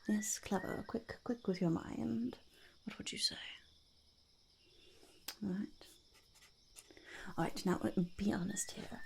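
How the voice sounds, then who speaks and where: A woman's voice: mid-range voice, gravelly, darkish tone, slight background noise, full character voice. A woman voicing a fussy, impatient goblin boss in a whispered ASMR roleplay, muttering and repeating words. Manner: speaks of self in third person, repeats words, mutters approval.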